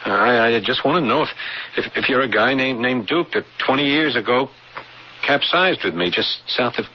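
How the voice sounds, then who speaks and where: A man's voice: medium pitch, moderate pace, close to an everyday voice. An actor playing an earnest, anxious artist in a radio drama, hesitating and stammering as he pleads. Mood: nervous, earnest. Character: earnest, anxious male artist, a married everyman. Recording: dull, noisy old radio broadcast.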